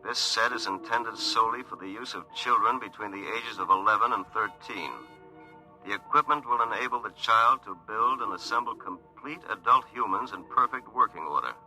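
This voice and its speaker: A self-assured, successful attorney, narrating in a fairly low voice. He is wry and confiding, in old radio drama style, with clear music underneath. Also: normal speed, pushed and bright, rough recording. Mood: wry recounting. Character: self-satisfied, successful male attorney recounting his story. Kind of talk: 1950s radio drama first-person narration. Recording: 1950s radio broadcast, music behind.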